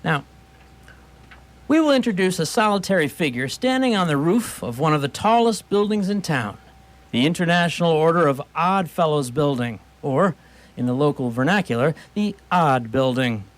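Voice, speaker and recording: A man tells a radio theatre story as its narrator. His voice is on the high side and swings widely in pitch. His phrasing is wry and wordy, with a literary bent. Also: lively intonation, moderate pace.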